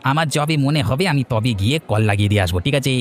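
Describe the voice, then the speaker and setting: Man acting a very fast-talking, greedy village bully. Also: mid-range voice, animated, slight background noise, close to an everyday voice. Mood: threatening. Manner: brusque, repeats words impatiently. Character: rude greedy village handyman uncle, bullying and scornful. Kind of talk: Bengali cartoon voice acting.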